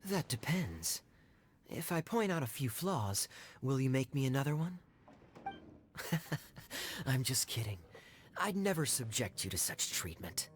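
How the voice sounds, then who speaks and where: A man playing a suave young knight in a video game, smooth-talking and slyly teasing. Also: mid-range voice, sing-song, measured pace, pauses often, slight background noise. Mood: playful, sly. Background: music.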